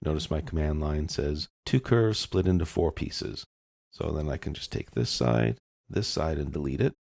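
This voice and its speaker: A man giving a casual, step-by-step software tutorial as an instructor, explaining in informal phrasing into a close mic. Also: very deep voice, moderate pace, gravelly, dry recording. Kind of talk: step-by-step screencast lesson, casual.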